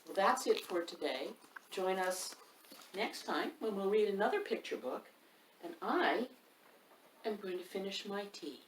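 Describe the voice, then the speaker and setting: A woman reading a rhyming picture book aloud, with a lively, sing-song delivery and asides to the listener. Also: medium pitch, unhurried, slight background noise. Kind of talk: expressive read-aloud storytime. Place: home room. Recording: roomy echo, mic at a distance.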